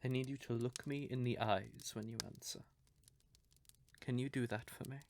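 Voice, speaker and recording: A man playing a courtly, possessive vampire lover in an audio roleplay, speaking theatrically and deliberately with syrupy endearments and breathy, quietly menacing undertones. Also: mid-range voice, steady tone, slight background noise, close to an everyday voice. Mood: tender, guarded. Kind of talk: scripted audio roleplay addressed to the listener.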